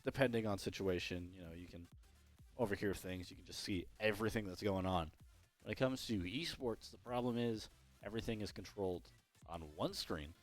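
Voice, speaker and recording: Young male esports caster, low hoarse voice, loose rambling play-by-play. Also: fairly quick, soft background music. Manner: rambling, self-correcting, chatty. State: losing his voice. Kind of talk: solo play-by-play commentary.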